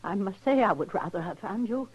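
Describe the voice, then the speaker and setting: A woman acting a proud, stern old grandmother in an old-time radio western, formal and measured. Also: mid-range voice, lively intonation, slightly rough, muffled recording. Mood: stern, composed. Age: older. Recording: old radio drama recording.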